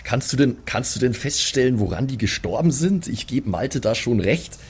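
A man improvising casually as a player in a tabletop game, measured and thoughtful in his phrasing. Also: mid-range voice, big pitch swings, husky. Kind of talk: casual improvised in-character talk.

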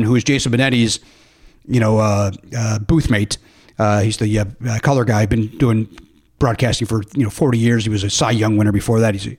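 A man hosting a comedy podcast, chatting casually with a jokey, profane manner. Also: mid-range voice. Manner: jokey, profane, mock radio-DJ patter. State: says he is losing his voice. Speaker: podcast host.

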